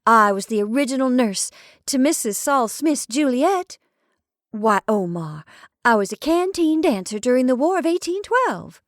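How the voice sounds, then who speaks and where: A woman's voice: sits high, sing-song, moderate pace, no echo, pitched above the performer's own voice. A woman voicing a flippant young chorus girl, breezy and teasing with mock-dramatic flair, in a dry, close recording.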